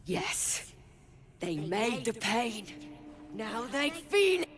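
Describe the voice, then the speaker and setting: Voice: medium pitch, very animated, extremely slow, music in the background. An actor voicing a simple-spoken enslaved creature in revolt in a video game, in short, blunt phrases. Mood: enraged, vengeful. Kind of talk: video game character acting.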